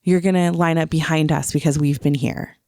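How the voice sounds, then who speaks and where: A woman's voice: sits low, steady tone, a little fast, darkish tone, dry recording, clean studio sound. A woman co-hosting a podcast, recounting things in casual, conversational storytelling. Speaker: podcast co-host. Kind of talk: casual chat, recounting a trip.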